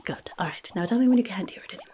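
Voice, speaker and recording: A woman's voice: mid-range voice, lively intonation, very fast, dark tone, a little hiss or hum, close to an everyday voice. A young woman playing a rude, chaotic goblin nurse in a whispered ASMR roleplay, fussy and blunt, repeating words close to the microphone. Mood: brisk, distracted. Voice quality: breathy whisper.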